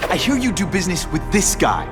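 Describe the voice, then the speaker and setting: Young smartass superhero, quippy n impatient, voice up high and bouncy, game cutscene w music. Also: male voice, huge pitch swings, fast. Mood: demanding. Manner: sarcastic, quippy, taunting. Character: wisecracking young masked superhero, cocky and impatient. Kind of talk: video game cutscene acting.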